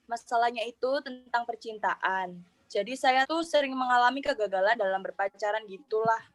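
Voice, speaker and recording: A woman's voice: a little high, moderate pace, lightly acted. A woman playing a 28-year-old model who tells a counseling group about her love troubles in a chatty, earnest way. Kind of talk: student counseling roleplay.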